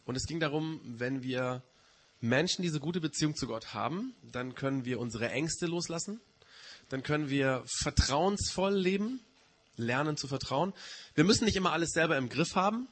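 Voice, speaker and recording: Male preacher, higher-pitched and husky with a mild cold; informal, explanatory sermon style that restates points. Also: lively intonation, normal speed. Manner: explanatory, often rephrasing and repeating key points. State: slight head cold. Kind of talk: informal sermon to a church community.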